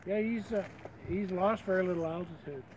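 A man's voice: high-pitched, brisk, darkish tone, slight background noise. A man chatting casually outdoors on a mountainside, marveling at what he watches. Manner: marveling, commenting. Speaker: family member. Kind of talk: casual chat. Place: snowy mountain roadside outdoors.

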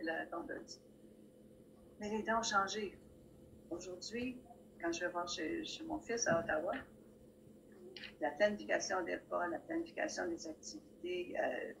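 Older woman, low-pitched, plainspoken storyteller, video call with faint hiss. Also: creaky, fairly bright tone, poor audio. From Montreal. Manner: plainspoken storyteller, wry asides. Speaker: guest speaker. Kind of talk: personal anecdotes at a community talk. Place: library room with bookshelves, indoors. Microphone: video call, laptop camera.

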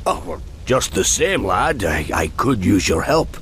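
Game dwarf: gruff, blustery Scot, low and very animated. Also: male voice, measured pace, a little hiss or hum. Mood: hearty. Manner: boisterous, blustery, folksy. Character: gruff, hearty dwarf adventurer. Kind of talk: video game cutscene acting.

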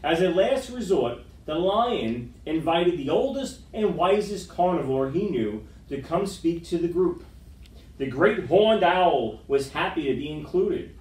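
A middle-aged man reading a children's picture book aloud with dramatic, expressive storytelling, recorded indoors by a camera across the room. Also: on the high side, measured pace. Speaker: storytime reader. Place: school room with wood-panelled walls, indoors. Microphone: far camera microphone. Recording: roomy, distant camera microphone.

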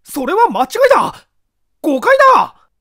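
Man acting a shrill, indignant gentleman suspect. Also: wildly swooping pitch, a little slow, no room sound, studio quality, exaggerated voice. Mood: panicked, indignant. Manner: agitated, indignant shouting.

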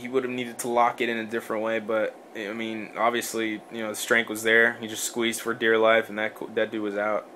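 A man's voice: on the low side, monotone, brisk, hardly any pauses, sharp tone, slight background noise. A man co-hosting a podcast, talking in a rambling, casual way with many fillers, restarts and swearing. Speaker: podcast co-host. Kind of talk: casual sports chat.

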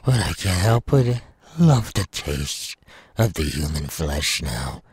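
Male demon villain, audio roleplay: menacing and taunting, gravelly, swinging widely in pitch, recorded close and dry. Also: sing-song, a little mellow, dry recording, full character voice. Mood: smug. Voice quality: gravelly, raspy. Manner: slow, taunting, drawn-out delivery with wide swings. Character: menacing, sadistic male demon villain craving human flesh. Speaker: voice actor.

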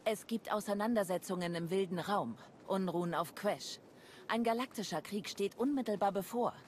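An actress playing a composed, serious woman adviser in a video game, measured and grave in manner. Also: medium pitch, a little mellow, a little hiss or hum, close to an everyday voice. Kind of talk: video game cutscene acting.

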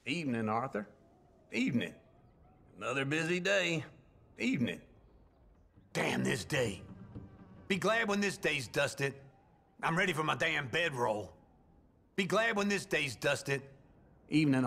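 An actor playing a grumbling older outlaw camp loafer in a western video game, with a gravelly American drawl. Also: male voice, medium pitch, fairly bright tone, full character voice. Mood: genial, folksy, then weary, subdued.

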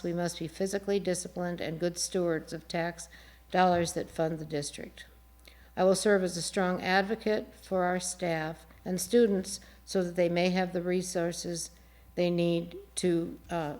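Woman, lowish voice, forum moderator, measured reading, small stumbles, formal. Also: little pitch movement, darkish tone. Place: TV studio.

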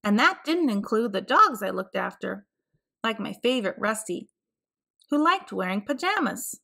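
A woman reading a picture book aloud for children, with clear, expressive phrasing. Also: mid-range voice, moderate pace. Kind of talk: read-aloud storybook narration.

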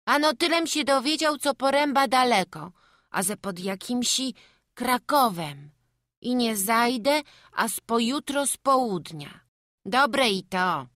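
A woman's voice: on the high side, animated, measured pace, fairly bright tone, no echo, studio quality. A woman voicing a small, humble and wary peasant boy who speaks in rustic village dialect, in an audiobook. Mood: musing. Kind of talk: audiobook acting.

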